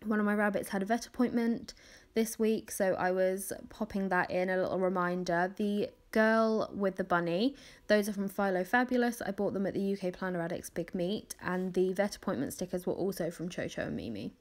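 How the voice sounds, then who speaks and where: A woman's voice: mid-range voice, fairly quick. Chatty young woman, crackly morning voice. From England. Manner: chatty, rambling, with many ums and small laughs. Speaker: planner vlogger. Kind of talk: casual voice-over while planning.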